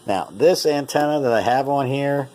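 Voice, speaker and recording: A man's voice: medium pitch, normal speed, a little mellow, rough recording. A North American man giving a casual, unscripted product demonstration, thinking aloud in a plain-spoken, conversational way. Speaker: presenter demonstrating a vintage radio.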